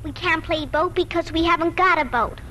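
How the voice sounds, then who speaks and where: Very high, bright little farm girl played by female. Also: a little fast, slight background noise. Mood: reluctant. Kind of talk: 1940s radio acting.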